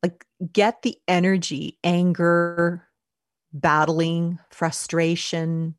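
A woman speaking as a life coach, leading a calm, reflective self-help talk and often repeating her words. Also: on the low side, takes it slowly, no echo, studio quality.

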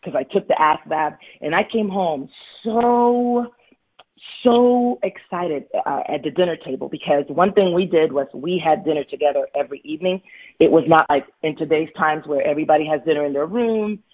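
A woman's voice: deep voice, moderate pace. A woman in her forties from Florida, earnestly telling her life story as an interview guest. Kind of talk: candid personal storytelling. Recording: tinny phone-like line.

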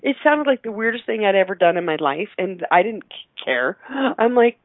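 A middle-aged woman from Canada chatting casually and effusively as an interview guest, playful and quick to laugh. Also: medium pitch, lively intonation, no echo. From Alberta, Canada. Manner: effusive, playful, laughs often while talking. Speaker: interview guest, radio show host. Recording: narrow, phone-like line.

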